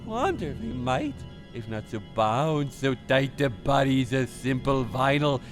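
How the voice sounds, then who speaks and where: An actor playing a cackling ghostly storyteller trapped in a record, reciting rhymes with laughs slipped between the words, over a music bed. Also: male voice, medium pitch, lively intonation, measured pace, lightly acted. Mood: gleeful sinister. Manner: rhyming, theatrical, laughing through lines. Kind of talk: radio play acting.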